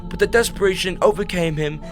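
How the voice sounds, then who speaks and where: A man's voice: high voice, quick pace. A man narrating a fantasy audio drama in a scripted storybook style. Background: music.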